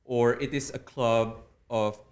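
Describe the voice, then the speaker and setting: A man with a Filipino accent, a native Tagalog speaker, explaining things earnestly as an interview guest in deliberate, formal phrasing. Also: medium pitch, steady tone, darkish tone. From Philippines. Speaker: interview guest, public speaking club officer.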